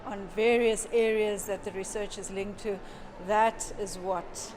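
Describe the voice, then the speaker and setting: A woman's voice: mid-range voice, steady tone, a little slow. A woman speaking earnestly and emphatically in an event interview about celebrating science. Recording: noisy event recording.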